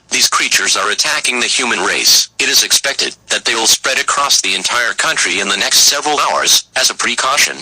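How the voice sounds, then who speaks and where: A man's voice: medium pitch, slightly rough, rough recording. A man reading a scripted emergency broadcast announcement in a formal, solemn manner. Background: loud music.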